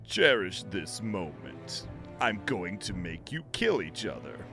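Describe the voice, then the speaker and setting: An actor playing a cruel, gloating dark emperor in a sci-fi audio drama, speaking slowly and deliberately over music. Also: male voice, deep voice, very animated, gravelly, full character voice. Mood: gloating menace. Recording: music under the voice.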